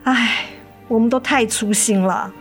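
一位女演员在广播剧中饰演操心又疲惫、爱叮咛的母亲。. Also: female voice, on the high side, unhurried, faint music behind, natural voice. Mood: sorrowful. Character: worried, weary, nagging mother of two daughters. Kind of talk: radio drama acting.

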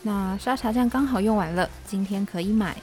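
A woman's voice: medium pitch, brisk, a little mellow, faint music behind, close to an everyday voice. A woman acting in an audio drama as a precise, thrifty perfectionist dormmate, matter-of-fact and sure of herself. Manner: matter-of-fact, self-assured. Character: precise, frugal perfectionist young female dormmate.

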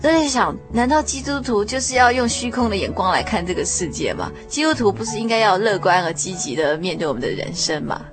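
A woman's voice: mid-range voice, expressive, fairly quick, hardly any pauses. A woman with a Taiwanese Mandarin accent, hosting an interview on a radio programme. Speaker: radio host. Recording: music playing behind the voice. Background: music.

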